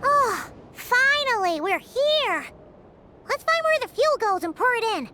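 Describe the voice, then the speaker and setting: Super high bouncy little fairy sidekick, very chirpy, game music behind. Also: female voice, measured pace, a little hiss or hum, full character voice. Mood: puzzled, relieved. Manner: chirpy, excitable, talks about herself in third person. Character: small excitable floating fairy companion girl. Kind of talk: game voice acting.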